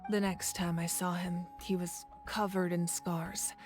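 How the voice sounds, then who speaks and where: An actress playing a composed, proud warrior woman in a video game, recounting tales in a measured, thoughtful way. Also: lowish voice, flat delivery, darkish tone, faint music behind, natural voice. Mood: reflective. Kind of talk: video game story dialogue.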